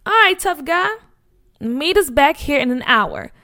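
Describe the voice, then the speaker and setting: A woman's voice: high voice, sing-song, a little slow, bright, forceful tone, no echo, clean studio sound, pitched above the performer's own voice, full character voice. Woman doing a smug taunting bully boy. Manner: taunting, mocking.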